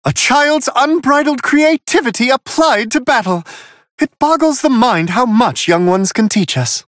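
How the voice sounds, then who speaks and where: A man's voice: very high-pitched, animated, measured pace, fairly bright tone, dry recording, studio quality, full character voice. A man voicing a refined, unflappable, amused celestial attendant in a video game, commentating on fights with prim, courtly, theatrical precision. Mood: composed, impressed.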